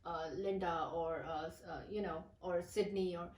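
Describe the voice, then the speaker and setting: A woman's voice: on the low side, fairly even intonation, normal speed, a little mellow. Woman, distant and echoey. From Pakistan. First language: Urdu. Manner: hesitant, many fillers and restarts. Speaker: poet, interview guest. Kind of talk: casual interview.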